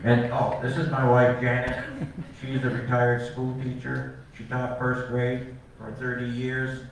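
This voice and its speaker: A man's voice: medium pitch, completely flat delivery, a little slow. Folksy older man in an echoey hall. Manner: folksy, rambling, joking. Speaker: cattle farmer presenter. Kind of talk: informal talk to an audience. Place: large meeting hall. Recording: echoey hall from far off, some noise.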